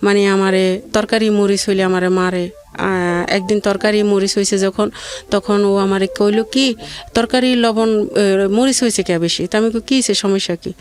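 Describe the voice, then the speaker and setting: A woman telling her life story in a plaintive, rambling way with halting repeats. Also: mid-range voice, steady tone. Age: adult mother of two. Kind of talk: personal hardship testimony in conversation.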